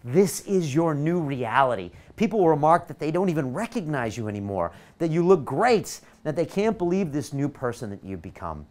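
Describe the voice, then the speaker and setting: A man's voice: a little high, sing-song, moderate pace, hardly any pauses, darkish tone. A man from California, hosting an online masterclass and leading a motivational guided visualization with eager, coaxing energy.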